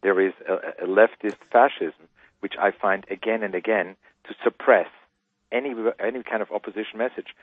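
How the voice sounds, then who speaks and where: A man's voice: on the low side, steady tone, moderate pace, breathy edge, dry recording. A man from Austria, a guest speaking emphatically on a radio interview over the phone. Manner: emphatic, preaching conviction. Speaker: guest author. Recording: tinny phone line.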